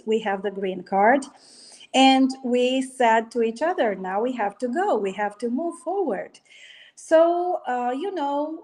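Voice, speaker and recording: A woman speaks English as an in a fairly high voice. She talks hesitantly, searching for words with plenty of fillers, and laughs easily as an interview guest. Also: a little mellow, rough recording. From Romania. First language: Romanian. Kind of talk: casual interview.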